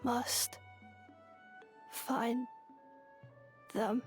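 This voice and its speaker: A dazed android girl, speaking extremely slowly in faint, broken fragments. The voice is fairly high and frail, with soft game music behind. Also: little pitch movement. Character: frail humanlike machine girl, dazed and faltering. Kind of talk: video game character acting.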